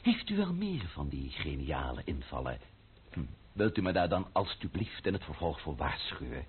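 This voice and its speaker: Proud French count, husky, very animated, ironic, ornately courteous, vintage radio play. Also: male voice, sits low, moderate pace, phone-quality sound, heavily acted. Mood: sarcastic, indignant. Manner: ornate, ironic, theatrical politeness. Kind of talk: old-fashioned Dutch radio drama acting.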